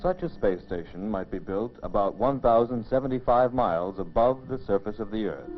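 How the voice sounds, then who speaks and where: A man giving measured, explanatory scripted narration for a mid-century educational film, on a dull old film soundtrack. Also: lowish voice, faint music behind.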